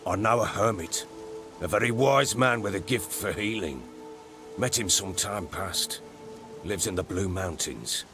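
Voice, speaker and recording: An actor playing a remorseful, rough middle-aged husband and father in a video game, speaking in a solemn, measured way. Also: sits low. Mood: solemn, earnest. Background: game music.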